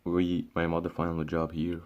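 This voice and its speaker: Deep-voiced young man from Syria ranting. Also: completely flat delivery, moderate pace, highs cut off. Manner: frequent restarts and fillers, swears, says "bro". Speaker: vlogger. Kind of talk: casual ranting monologue.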